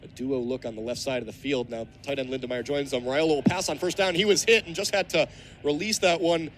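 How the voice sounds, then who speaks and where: A man's voice: medium pitch, fairly even intonation, brisk, fairly bright tone. A man in his twenties with an American accent, calling live football play-by-play on the radio. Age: 20s. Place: outdoor college football stadium. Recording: outdoor stadium broadcast, ambient background noise.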